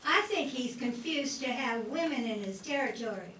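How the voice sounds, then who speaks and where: Woman acting a bright, high-pitched wartime factory worker. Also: animated, normal speed, a little hiss or hum. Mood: proud, friendly. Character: proud, chatty young 1940s munitions worker woman. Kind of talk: amateur radio play acting. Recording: echoey room, distant mic, faint music.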